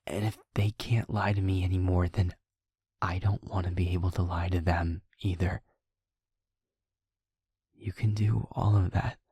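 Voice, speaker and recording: A man playing an anxious, tender, protective young vampire boyfriend in an intimate audio roleplay, speaking haltingly and often in a whisper. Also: low voice, little pitch movement, mellow, breathy edge, no echo, exaggerated voice. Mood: pleading, grave.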